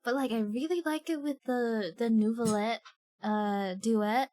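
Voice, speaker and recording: A woman's voice: fairly high pitch, steady tone, a little slow, darkish tone. A woman streaming a video game live, reading its text aloud and chatting casually. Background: game music.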